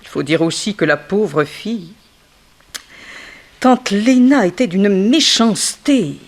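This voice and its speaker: A bitter former ballerina in an old French radio whodunit. Her voice swings widely from slow, loaded emphasis to sharp outbursts. She is theatrical and insinuating, with a cutting tongue. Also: mid-range voice, lively intonation. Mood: sardonic, gossiping. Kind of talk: French 1960s radio detective drama acting.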